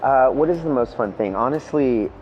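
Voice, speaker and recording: A man's voice: mid-range voice, normal speed. A man, a guest evangelist, talking casually and enthusiastically in an interview, often saying "man" and "dude". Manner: relaxed, enthusiastic storyteller, says "man" and "dude". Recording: lo-fi with music behind.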